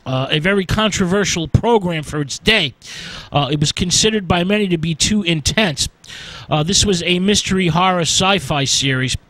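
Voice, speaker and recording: A man giving an informal film history lecture, speaking haltingly with deliberate emphasis on key words. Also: high voice, a bit bright, no room sound, rough recording. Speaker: film lecturer and radio presenter.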